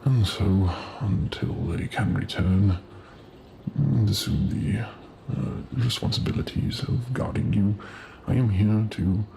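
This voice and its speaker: A man playing Death as a courteous, gentle figure in a soft-spoken ASMR roleplay, formal, halting and politely deadpan. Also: on the low side, creaky, slight background noise. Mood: solemn, matter-of-fact.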